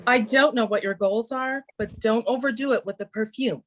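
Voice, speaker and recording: A woman playing a brisk, knowing office manager in her twenties in an amateur table read of a TV drama, businesslike and instructive. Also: on the high side, natural voice.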